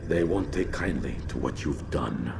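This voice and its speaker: A man's voice: extremely low pitch, lively intonation, a little slow, vocal fry, noisy background, heavily acted. An actor playing a proud, menacing male arms dealer in a video game, imperious and scornful with a theatrical delivery. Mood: dying, defiant. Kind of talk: video game cutscene acting. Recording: game music behind the voice.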